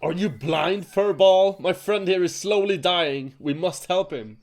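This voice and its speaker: A man's voice: sits high, unhurried. A man playing a brash, blustering little creature in an audio drama, loyal to a friend and stumbling over words. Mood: indignant. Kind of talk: audio drama character acting.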